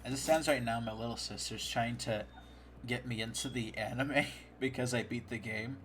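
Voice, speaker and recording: A man's voice: mid-range voice, normal speed, a bit bright, a little hiss or hum. A man hosting a casual podcast chat, talkative, laughing often and stumbling over restarts. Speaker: podcast host.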